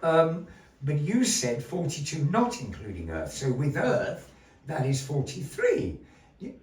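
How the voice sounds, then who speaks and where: A man's voice: on the high side, wildly swooping pitch, unhurried, a little mellow, recorded from a distance. Showy male puppet-show host in echoey room. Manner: showy, grandly polite, playful. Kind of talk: theatrical stage patter with a puppet fortune-teller. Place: gallery room before a live audience. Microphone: distant room mic. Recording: echoey room heard from afar.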